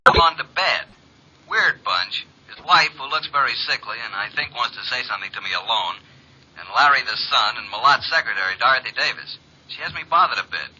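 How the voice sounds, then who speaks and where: An actor playing a brash, wisecracking American private investigator in an old radio drama, breezy, slangy and direct. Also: male voice, medium pitch, animated, brisk, sharp tone, slightly rough, exaggerated voice. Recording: muffled vintage radio recording.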